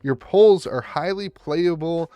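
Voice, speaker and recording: A hoarse young man who is losing his voice, hosting a casual, chatty card pack opening and talking straight to the viewer. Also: a little high, slow pace, no echo, dull audio. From United States. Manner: chatty, calls out foils and talks to the viewer. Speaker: channel host opening card packs.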